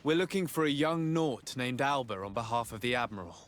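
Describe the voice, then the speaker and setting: An actor playing a polite, composed young diplomat in a video game, speaking formally. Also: male voice, mid-range voice, expressive, a little fast, lightly acted. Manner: formal, courteous questioning. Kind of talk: video game cutscene dialogue. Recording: clear noise, outdoor ambience behind.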